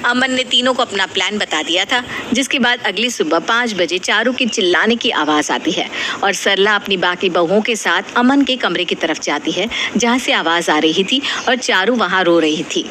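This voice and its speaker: A woman's voice: mid-range voice, moderate pace, few pauses, pushed and bright. A woman reading a scripted moral story as the storyteller. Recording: clearly noisy background ambience.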